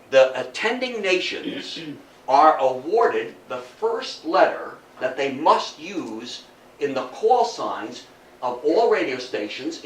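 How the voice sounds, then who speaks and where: An older man giving a public history lecture in a theatrical, emphatic storytelling manner, with dramatic pauses and rhetorical questions. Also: on the high side, a bit bright. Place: indoor talk room before an audience. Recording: roomy, distant mic, faint noise.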